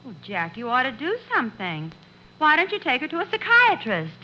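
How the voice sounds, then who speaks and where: A woman playing a brisk, practical friend in a 1950s radio comedy. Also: sits high, huge pitch swings, background noise. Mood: brisk, concerned.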